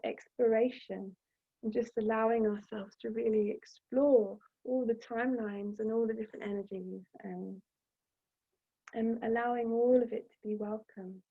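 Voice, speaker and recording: A woman giving a flowing, gentle spiritual talk on astrology, filling her sentences with small fillers as she goes. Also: on the high side, creaky, husky. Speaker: astrology presenter. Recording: tinny phone-like sound.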